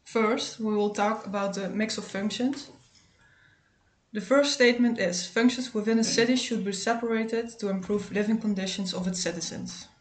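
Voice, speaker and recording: A woman's voice: medium pitch, steady tone, normal speed. A young woman hosting a student podcast, reading formally from a script. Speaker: podcast host. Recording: roomy, faint background noise.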